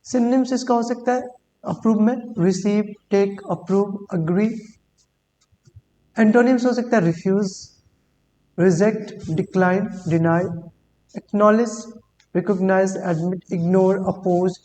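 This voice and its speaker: A woman teaching English vocabulary in Hindi, reading English examples slowly and deliberately and often repeating words. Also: medium pitch, fairly even intonation, mellow. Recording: long hall reverb with faint hum.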